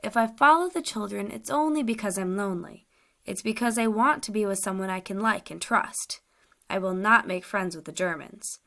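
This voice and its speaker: A woman's voice: medium pitch, moderate pace, creaky, sharp tone. A woman voicing a pleading captive soldier. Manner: pleading, emotional. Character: desperate young male Polish soldier, pleading.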